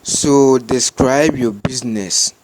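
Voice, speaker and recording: A man with a Ghanaian accent gives unscripted step-by-step instructions in a halting, repetitive way, as a tutorial host. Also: mid-range voice, a little hiss or hum, poor audio.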